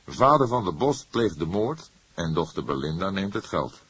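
Man playing a low, husky old detective. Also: sing-song, fairly bright tone, exaggerated voice. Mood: firm. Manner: measured, stern, deliberate. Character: old grey-haired male police detective, calm, stern, shrewd.

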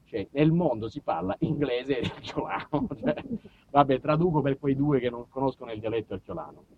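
A man with a southern Italian accent performing a comic monologue, theatrical and mock-pompous, moving between muttered asides and loud outbursts. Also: mid-range voice, animated, brisk, narrow, tinny audio, a little hiss or hum. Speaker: stage monologist. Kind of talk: comic autobiographical storytelling to a live audience.

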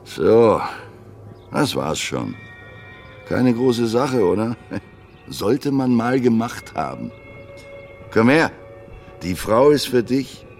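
Cold cruel soldier, slow n creaky, offhand taunting, faint music under it. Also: male voice, mid-range voice, very animated, a little mellow, exaggerated voice. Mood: callous, goading. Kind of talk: radio drama acting.

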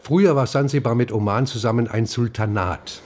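An actor playing an earnest, slightly sheepish bookseller in a radio crime drama, speaking in a hesitant, explanatory way. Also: male voice, mid-range voice, brisk, darkish tone, lightly acted. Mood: sheepish, eager.

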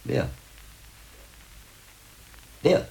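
An actor playing a shrewd, learned older monk and investigator in a German radio drama, speaking deliberately and probingly with a gravelly voice. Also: male voice, dark tone, a little hiss or hum, poor audio.

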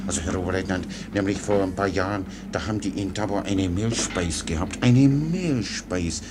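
An actor playing a guileless, chatty, foolish soldier orderly in a German radio play, rambling deferentially. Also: male voice, low voice, normal speed, natural voice. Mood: blithely helpful. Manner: rambling, naively unruffled, deferential. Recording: clear background noise.

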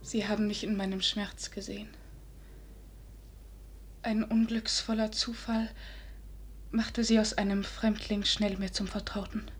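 An actress playing a grieving young princess who holds herself composed, breathy and formal, in a classical verse radio drama. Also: female voice, medium pitch, flat delivery, unhurried, long pauses, a little hiss or hum, close to an everyday voice. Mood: sorrowful, restrained. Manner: restrained, formal.

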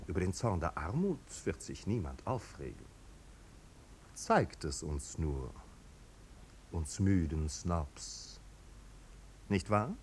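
An actor playing a snobbish, amused aristocrat with a languid, ironic manner in a 1950s German radio drama. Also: male voice, sing-song, slow, long pauses, a little mellow, full character voice. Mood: ironic, defiant. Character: snobbish, amused aristocratic marquis, blasé and mocking. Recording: old radio recording, rumbling background noise.

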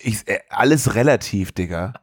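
A man co-hosting a podcast, telling film scenes in a casual, colloquial and animated way. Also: on the low side, moderate pace, slightly rough, no room sound, studio quality. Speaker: podcast co-host. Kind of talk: casual film-review chat.

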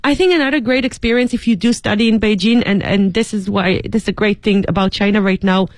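Woman host, casual studio chat, asks questions, dry close sound, mid pitch. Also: fairly even intonation, fast, no echo. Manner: chatty, steers the talk with questions. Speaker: radio show host.

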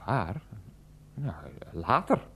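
Lively, hesitant middle-aged man. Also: mid-range voice, a little hiss or hum, exaggerated voice. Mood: hesitant, defensive. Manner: halting, polite, defensive. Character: hesitant, mild, earnest middle-aged nephew. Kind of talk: naturalistic radio play dialogue.

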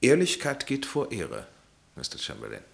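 An actor playing a blunt, dryly ironic, authoritative psychiatrist in a German radio play, with a deliberate, lecturing delivery. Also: male voice, mid-range voice, wildly swooping pitch, gravelly, full character voice. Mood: commanding.

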